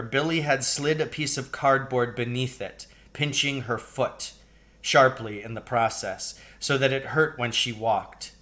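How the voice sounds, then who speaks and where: A middle-aged man reads a children's novel aloud. His voice sits at an average male pitch. He delivers it as storybook narration for young listeners. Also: sharp tone. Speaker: storybook reader, teacher. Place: indoors, large living room.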